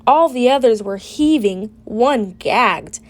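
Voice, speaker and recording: A woman reading a children's picture book aloud with an expressive, deliberate delivery. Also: a little high. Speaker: storybook reader.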